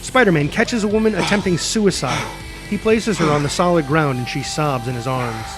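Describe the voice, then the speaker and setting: A man narrates a screenplay, reading scene headings and action with drama and spoken sound effects. His voice is a little high for a man. Music is clearly heard behind him. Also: normal speed. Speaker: narrator reading stage directions.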